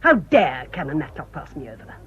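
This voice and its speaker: A woman's voice: deep voice, sing-song, brisk, fairly bright tone. A woman playing a haughty British village spinster in a radio drama, imperious, emphatic and accusing. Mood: indignant, haughty. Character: indignant, haughty village spinster, proud of her lineage. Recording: muffled radio drama recording.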